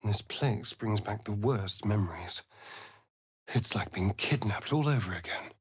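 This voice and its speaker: An actor with an English accent playing a sly, vain, mocking vampire elf rogue in a fantasy game, arch and teasing in manner. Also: male voice, fairly low pitch, animated, a little slow, slightly rough, no echo, clean studio sound, exaggerated voice. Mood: bitter, subdued. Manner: arch, languid, teasing, theatrical. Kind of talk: theatrical fantasy game voice acting.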